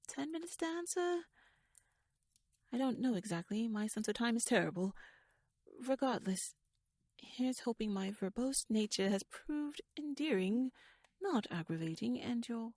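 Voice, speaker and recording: A shrewd young noblewoman, played by a woman, speaks in a fairly high voice, close and dry on the mic. She rambles in formal, courtly phrases with wry asides, nervous yet playfully bold. Her soft delivery often drops toward a whisper, in the intimate style of ASMR audio drama. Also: no echo. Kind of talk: ASMR audio roleplay, addressed to the listener. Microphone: close microphone, dry.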